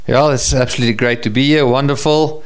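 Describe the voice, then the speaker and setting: A man's voice: medium pitch, steady tone, fast talker, clean tone. A man with a South African accent answering interview questions conversationally as a guest. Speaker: professional hunter and safari business owner, interview guest.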